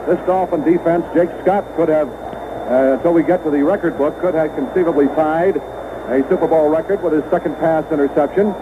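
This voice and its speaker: A man's voice: on the high side, normal speed, narrow, tinny audio, noisy background. An American man calling live football play-by-play on an old radio broadcast. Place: stadium broadcast booth.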